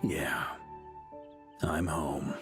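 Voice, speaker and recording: An actor playing a calm, steadfast, travel-weary knight grandmaster in a video game, speaking in an earnest, measured way. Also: male voice, rumbling low, creaky, exaggerated voice. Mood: relieved, warm. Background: game music.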